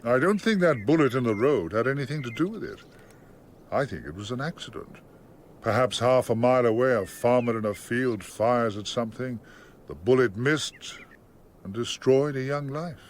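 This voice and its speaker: An actor as a deliberate, formidable barrister. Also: male voice, medium pitch, expressive, slight background noise, natural voice. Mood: reflective. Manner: deliberate, rhetorical questioning. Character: formidable middle-aged male barrister, probing in court, gentle as father. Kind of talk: radio courtroom drama acting.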